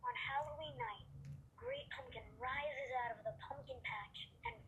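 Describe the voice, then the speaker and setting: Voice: high-pitched, unhurried, pushed and bright, a little hiss or hum. A performer voicing an earnest cartoon child, heard as a faint, distant played-back clip.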